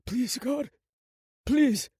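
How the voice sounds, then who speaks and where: A man's voice: high-pitched, animated, slow, darkish tone, dry recording, studio quality, voice pushed up. A man voicing a proud, defiant young prince in an audiobook, with intense, emphatic delivery. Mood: pleading.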